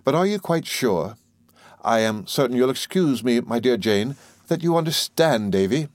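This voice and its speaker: A man voicing a timid, gentle, anxious young mother in a Victorian storybook, deferential, apologetic and tentative. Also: mid-range voice, lively intonation, normal speed, no room sound, pitched above the performer's own voice, lightly acted. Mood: timid, polite. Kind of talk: audiobook character in a Victorian novel.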